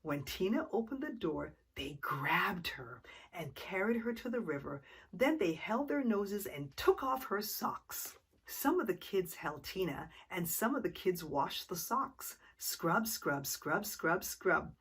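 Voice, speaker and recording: A woman's voice: lowish voice, big pitch swings, slow, fairly bright tone, slightly rough. A woman reading a children's story aloud expressively, as if to young listeners. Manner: asks the young listeners questions. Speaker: storytime reader.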